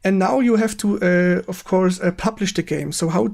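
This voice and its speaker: High-pitched, creaky German man interviewing. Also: a little mellow. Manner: halting, searching for words in non-native English. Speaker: stream host interviewer. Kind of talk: casual live-stream interview.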